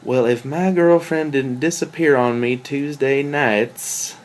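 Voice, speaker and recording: A male actor plays a wry, jealous boyfriend in a two-hander stage drama. He talks in a natural, conversational way at a middle pitch. His delivery is wordy and questioning, needling yet insecure. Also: fairly even intonation, slow, natural voice, poor audio. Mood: defensive. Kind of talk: table read of a two-person stage play.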